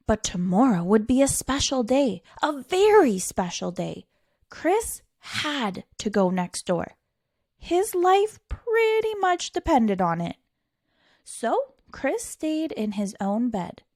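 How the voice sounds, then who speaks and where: A woman reading a children's picture book aloud as a storytime reader, theatrical, with dramatic pauses and stretched words for emphasis. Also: a little high, very animated, a little mellow, dry recording, studio quality.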